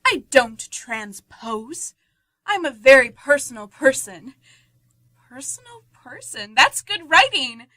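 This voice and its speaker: A woman's voice: sits high, sing-song, slow pace, pushed and bright, dry recording, exaggerated voice. A woman playing Helen, an earnest, dramatic young writer who admires her own words. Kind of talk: amateur radio play.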